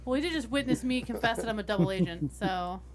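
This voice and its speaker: A woman's voice: on the high side, animated, fast talker, crowd noise. A woman chatting casually as a player in a tabletop game, with a wry, sassy manner. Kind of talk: casual chat. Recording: music behind the voice.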